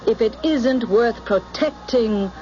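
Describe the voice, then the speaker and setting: A stern reprogrammer in a radio play, commanding and controlled. She speaks slowly in a fairly high, very expressive voice. The recording is an old, slightly muffled broadcast. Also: heavy background noise. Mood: insistent, measured. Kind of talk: radio drama acting.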